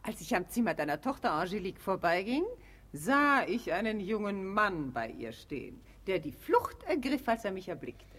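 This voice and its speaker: An actress playing a scheming, falsely doting wife in a German radio comedy, with an affected, gushing manner. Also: female voice, medium pitch, very animated, moderate pace, fairly bright tone. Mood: sugary, insinuating.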